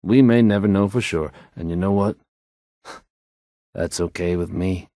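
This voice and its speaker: A man's voice: sits low, unhurried, mellow, no echo, studio quality. An actor playing a confident, easygoing radio newsman in a video game, suave and wry, with a polished, folksy delivery. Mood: relaxed, suave. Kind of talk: smooth scripted radio news delivery, video game voice acting.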